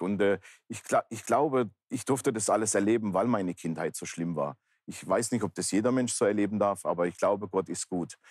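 A man's voice: fairly low pitch, slightly rough, no echo, clean studio sound. A man in his fifties, speaking earnestly and plainly as he gives personal testimony in an interview. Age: 50s. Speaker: talk show guest, pastor. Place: large indoor bar hall, seated interview.

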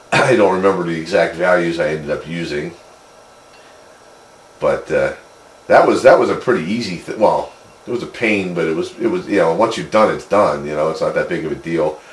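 Very deep-voiced man, roomy radio sound. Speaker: amateur radio hobbyist. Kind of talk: casual chat over two-way radio. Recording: roomy, noisy CB radio speaker pickup.

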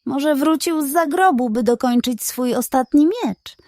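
Woman doing timid bunny boy in a kids story, high voice, nervous guessing. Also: a little slow, a little mellow, dry recording, studio quality. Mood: fearful. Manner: fearful, speculating. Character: cowardly male rabbit gossiping about ghosts.

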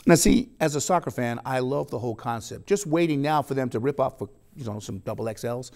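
A man's voice: medium pitch, fairly quick. Middle-aged male sports anchor. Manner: lively, joking anchor delivery. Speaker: sports show host. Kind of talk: studio news anchoring. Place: TV studio.

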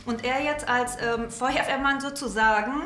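A woman's voice: a little high, moderate pace, a bit bright, roomy sound, slight background noise, natural voice. A woman acting as a worried middle-aged mother in a scripted police reality show, speaking in a small office. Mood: worried, explaining. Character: worried middle-aged mother reporting her son's prank.

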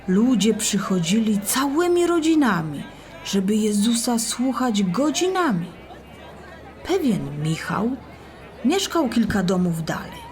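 A woman narrating a scripted rhymed Bible story for children in a deliberate, expressive way. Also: medium pitch.

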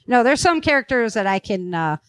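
A woman's voice: fairly high pitch, very animated, a little fast, fairly bright tone, clear, resonant tone, no room sound, clean studio sound. A woman hosting a podcast, chatting and interviewing in an enthusiastic, informal way. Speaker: podcast host. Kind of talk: upbeat casual interview chat.

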